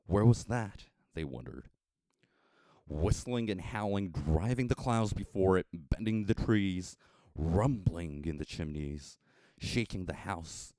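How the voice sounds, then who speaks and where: An American man narrating a story from a script in a measured, expressive storytelling manner with dramatic emphasis. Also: sits low, no room sound. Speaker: audiobook narrator.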